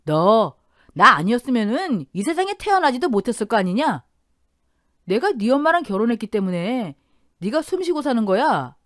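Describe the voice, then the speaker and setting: A woman's voice: a little high, very animated, normal speed, a bit bright, no room sound, studio quality. A woman voicing a cruel older stepfather who mocks and taunts in a radio drama. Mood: mocking. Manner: mocking, taunting.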